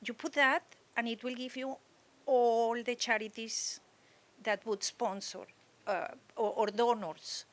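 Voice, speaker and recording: Woman, somewhat high voice, audience question, hesitant, searching for words. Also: steady tone, slow pace. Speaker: audience member asking a question.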